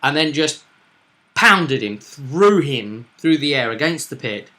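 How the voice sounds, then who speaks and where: A man co-hosting as game master, casually recapping a tabletop session with drawn-out, dramatic stresses. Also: on the high side, expressive, pushed and bright.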